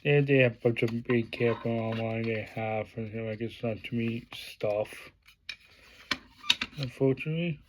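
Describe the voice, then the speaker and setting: A man talking casually through an unboxing vlog, halting with many fillers and restarts, praising what he shows. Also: medium pitch, very noisy. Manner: plain enthusiastic praise in a flat delivery. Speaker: vlogger.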